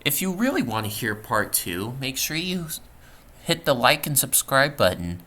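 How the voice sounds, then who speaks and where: A man reading a scripted horror story as narrator, somewhat halting with stumbles, on a home recording. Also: medium pitch, sing-song, sharp tone. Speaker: storyteller, channel creator.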